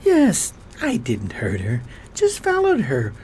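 A sullen, cowardly thirty-year-old male crook played by an actor in a radio play. He answers in short, grudging bursts, pitch leaping wildly, over faint noise. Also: wildly swooping pitch, slow, darkish tone. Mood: defensive, sullen. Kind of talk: audio drama acting.

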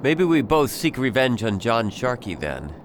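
A brooding pirate captain bent on revenge, played by a man in an audio drama. He is measured and commanding, and his pitch moves widely. Faint noise sits behind the voice. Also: very animated. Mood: brooding, bitter. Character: vengeful, brooding pirate captain, hardened by grief.

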